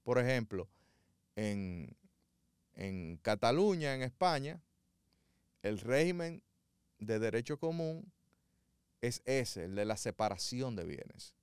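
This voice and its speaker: A man giving measured, didactic legal commentary, pausing often with fillers as he explains. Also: mid-range voice, sing-song, smooth, no echo, studio quality. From Dominican Republic.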